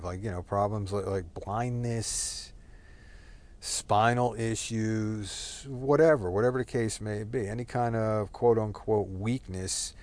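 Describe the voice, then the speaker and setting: A man from Texas talking in a rambling, profane monologue full of fillers and digressions. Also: on the low side. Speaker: solo talker.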